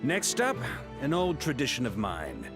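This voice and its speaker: An actor playing a steady, commanding knight grand master in a video game, speaking with measured authority. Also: male voice, medium pitch, over music. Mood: confident, commanding.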